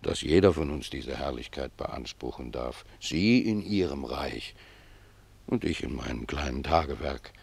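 A humble old gardener in a radio play. His voice is extremely low, with a gravelly creak. He talks in a pious, reflective way, choosing his words like a simple, deferential servant. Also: animated, no room sound, exaggerated voice. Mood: gentle, humble. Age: older. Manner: reflective, deferential, unhurried phrasing. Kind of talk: German radio drama acting.

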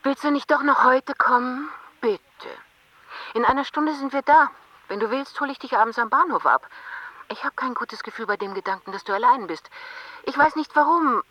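Eine Schauspielerin spielt in einem Hörspiel eine besorgte, liebevolle Ehefrau, die sanft neckend und drängend aufs Band spricht. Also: female voice, high-pitched, lively intonation, moderate pace, pushed and bright, dry recording, full character voice. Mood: concerned, coaxing. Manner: conversational, coaxing. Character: concerned, affectionate wife, gently teasing. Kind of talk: radio drama, answering-machine message. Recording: dull band-limited answering-machine sound.

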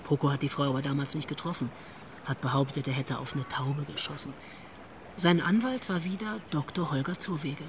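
A woman's voice: sits low, a little fast, background noise, natural voice. An actress playing a wry, worldly police investigator in a radio drama, telling what she knows in a knowing manner. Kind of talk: naturalistic radio drama acting.

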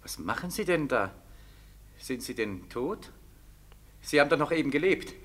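An actor playing a bewildered, desperate soldier home from Siberia in a 1940s German radio drama, with a plaintive, insistent delivery. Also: male voice, fairly high pitch, big pitch swings, moderate pace, fairly bright tone. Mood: desperate, grieving. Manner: insistent, repetitive questioning.

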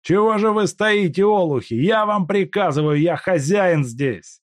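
A man shouting as a raging tyrant of a factory boss. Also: high voice, animated, a little slow, dry recording, clean studio sound, pitched above the performer's own voice. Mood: commanding. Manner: shouting, commanding, contemptuous. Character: furious bullying male factory manager.

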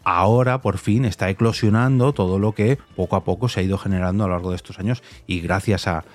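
A man's voice: low voice, fast, soft background music. A man with an accent from Spain hosts a casual interview, commenting at length in a conversational way. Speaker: podcast host interviewing a guest.

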